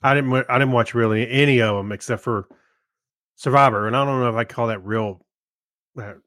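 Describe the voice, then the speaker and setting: A man's voice: medium pitch, brisk, fairly bright tone, dry recording, studio quality. A man co-hosting a podcast, chatting and reading items in an easygoing, hesitant way over music. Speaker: podcast co-host. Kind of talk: casual chat and reading out items.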